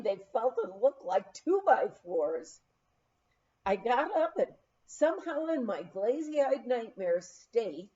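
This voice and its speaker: Slow, animated, high-voiced woman reading. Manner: animated, unhurried storytelling. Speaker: audiobook reader. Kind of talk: reading a memoir aloud. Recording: dull, lo-fi recording.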